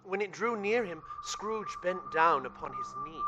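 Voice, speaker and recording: A man's voice: high voice, huge pitch swings, a little slow, lo-fi sound, background noise, full character voice. A man playing a storyteller, narrating a Victorian ghost tale in a dramatic, literary radio-play style. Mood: solemn, suspenseful.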